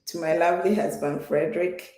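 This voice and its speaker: A woman's voice: fairly low pitch, steady tone, a little fast, warm tone. A woman from Ghana speaks as an interview guest. She talks earnestly, with frequent fillers and repeated words. Her voice carries a roomy echo. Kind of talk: conversational interview.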